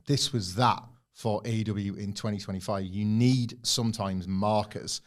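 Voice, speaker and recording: A man's voice: lowish voice, fairly even intonation, normal speed. A man co-hosting a wrestling podcast, talking enthusiastically in long rambling takes. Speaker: podcast co-host. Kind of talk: casual podcast chat.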